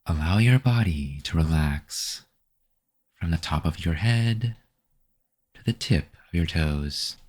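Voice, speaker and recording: A man guiding a meditation with gentle, unhurried, scripted instructions. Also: low-pitched, animated, breathy edge. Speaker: meditation guide. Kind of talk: scripted guided meditation narration.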